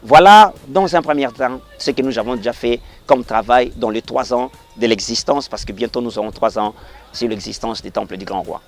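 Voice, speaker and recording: A middle-aged man from the Congo Central region of the DR Congo, a pastor speaking earnestly in an interview, rising to emphatic passages and dropping to quiet blessings. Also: medium pitch, moderate pace. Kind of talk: formal interview remarks, preacherly.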